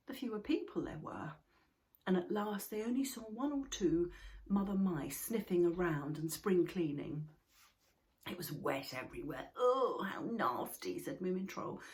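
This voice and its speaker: A woman reading a children's book aloud in an expressive way, sometimes dropping to a whisper. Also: on the low side. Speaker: storybook reader.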